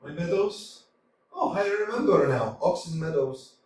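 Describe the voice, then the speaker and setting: A man's voice: a little high, lively intonation, unhurried, a little mellow, a little hiss or hum. A man voicing an anxious, quarrelsome young landowner who keeps complaining about his heart, in a staged play reading recorded in an echoey hall from a distance. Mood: fretful. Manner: fretful, complaining, flustered. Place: small theatre stage.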